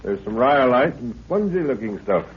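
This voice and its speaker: An actor playing a brisk, commanding professor who leads a jungle expedition in a 1930s radio drama, authoritative and courteous. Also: male voice, mid-range voice, big pitch swings, lightly acted. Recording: muffled, noisy 1930s radio recording.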